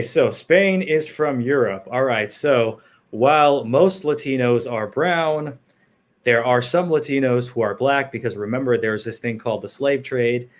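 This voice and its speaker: A man co-hosting a casual online chat show over an internet call, blunt, opinionated and joking. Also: mid-range voice. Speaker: co-host. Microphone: home setup over internet call.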